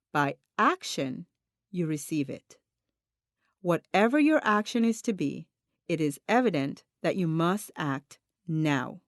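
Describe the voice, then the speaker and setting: Female audiobook narrator for self-help material; expressive, varied pitch, deliberate instructive read, dry studio-like sound. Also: no room sound. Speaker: audiobook reader.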